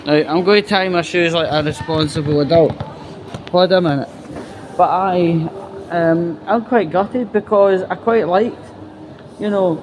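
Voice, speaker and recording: A man with a Scottish accent giving a blunt, sweary, casual vlog monologue while out walking. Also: fairly high pitch. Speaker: video blogger, host. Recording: noisy outdoor walking recording, constant background noise.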